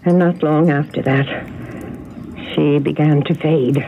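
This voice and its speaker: An actress playing a genteel elderly spinster in a radio drama, hospitable yet haunted and bitter, with an emotional way of telling things. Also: female voice, low-pitched, steady tone, measured pace. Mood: wistful, bitter. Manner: gracious, emotional storytelling. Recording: tinny, noisy old radio broadcast.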